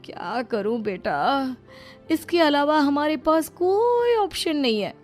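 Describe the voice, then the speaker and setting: A woman's voice: sits high, sing-song, slow, a little mellow, faint music behind, pitched above the performer's own voice, exaggerated voice. Woman playing a shrewd, moralizing mother-in-law. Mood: worried, plaintive. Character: middle-aged mother-in-law, shrewd, gently scheming and moralizing. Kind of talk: acted moral-story dialogue.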